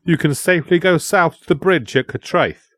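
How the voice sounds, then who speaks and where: Taunting warrior herald, played by a male narrator, declaiming a king's demands in a storybook, raised pitch, dry sound. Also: normal speed, no room sound, natural voice.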